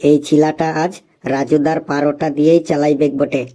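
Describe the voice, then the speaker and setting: A man's voice: on the high side, little pitch movement, normal speed, background noise, voice pushed down, lightly acted. A man voicing a sardonic rustic classmate in a cartoon, muttering. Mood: wry.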